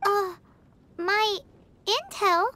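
Game fairy sidekick: tiny, piercingly high, unhurried delivery, childishly dramatic and excitable. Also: female voice, slight background noise, full character voice. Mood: puzzled, impatient. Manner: exclamatory childish slang, dramatic exaggeration. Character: excitable childlike fairy girl companion, bubbly, boastful, impatient. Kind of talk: video game voice acting.

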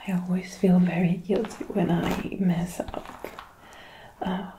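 A young woman plays a caring nurse in an ASMR roleplay, speaking in a breathy whisper. Her delivery is extremely slow and reassuring. She is close to the mic, with noticeable background noise and small clicks around her. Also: fairly low pitch, level tone. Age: young adult. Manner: gentle, reassuring personal attention. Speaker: ASMR creator role-playing a school nurse. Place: small decorated indoor room. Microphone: close binaural microphone.

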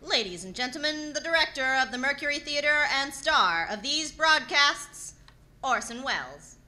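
A woman's voice: high-pitched, animated, moderate pace, sharp tone, exaggerated voice. A woman playing a formal 1930s radio programme presenter introducing in scripted style. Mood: formal, showy. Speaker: announcer.

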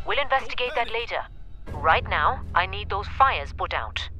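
A woman's voice: medium pitch, very animated, moderate pace, bright, forceful tone, soft background music. A woman playing a coolly authoritative space station director in a video game, formal and controlled. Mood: firm. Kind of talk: video game dramatic acting.

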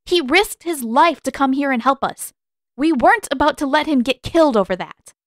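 A woman as an indignant teenage girl, pitched high. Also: lively intonation, normal speed, no echo, studio quality. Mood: defiant. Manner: sharp, accusing, defiant.

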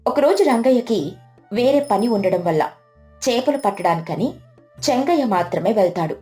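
A woman's voice: a little high, animated, moderate pace, mellow. Woman narrating a moral story over music.

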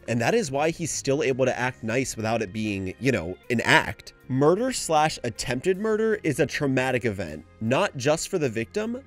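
A man's voice: mid-range voice, a little mellow. A man narrating a scripted video essay analysis.

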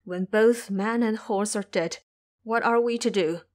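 A woman's voice: medium pitch, unhurried, close to an everyday voice. A woman voicing a timid, fretful male monk, formal and plaintive, in an audio drama. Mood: fearful, plaintive.

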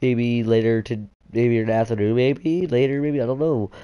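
A man's voice: medium pitch, steady tone, normal speed, smooth, dry recording, lo-fi sound. A man streaming a game, sick and losing his voice, joking crudely and laughing a lot. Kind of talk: casual gaming chat. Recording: noisy game stream capture.

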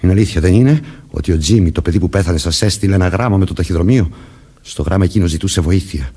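A man's voice: low-pitched, warm tone, breathy edge. An actor playing a murderer who poses as a commanding policeman, vengeful and childlike underneath, in a theatrical radio drama manner. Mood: accusing. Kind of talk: Greek radio drama acting.

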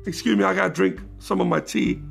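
A man hosting his own podcast in a casual, rambling monologue, his voice raspy, with many restarts that turn emphatic. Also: high voice, steady tone, fast talker, faint music behind. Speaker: podcast host.

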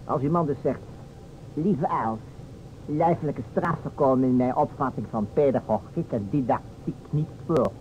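An actor plays a sceptical male police inspector in a vintage radio drama. He argues playfully, with wry, declamatory phrasing in a mid-pitched voice. The recording is dull, with slight noise. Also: normal speed, a little mellow, close to an everyday voice. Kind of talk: Dutch radio play acting.

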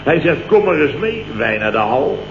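An actor playing a grumbling, indignant boss with a Dutch accent, blustering sarcastically in 1970s radio comedy style. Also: male voice, fairly high pitch, very animated, normal speed. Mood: exasperated. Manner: blustering, exclamatory, sarcastic storytelling. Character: grumbling, indignant male boss recounting his mishaps. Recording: noisy, dull, roomy old radio recording.

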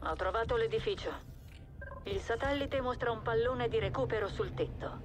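An actress playing a calm, businesslike intelligence officer in a video game, controlled and matter-of-fact. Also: female voice, on the high side, normal speed, noisy background, close to an everyday voice. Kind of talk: video game acting, briefing delivery. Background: music.

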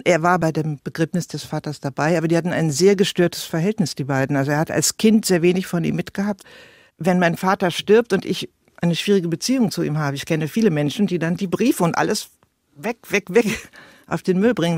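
A woman's voice: on the low side, a little fast, darkish tone, dry recording, clean studio sound. A woman biographer talking informally in an interview, conversational and emphatic.

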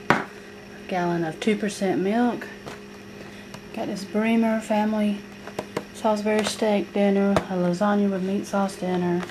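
A woman listing groceries from her table in a casual home video, with plenty of ums as she goes item by item. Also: medium pitch. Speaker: vlogger showing a grocery haul. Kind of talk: casual haul video narration. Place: home kitchen table, indoors. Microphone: handheld camera filming a tabletop. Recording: home camera audio with clear noise.

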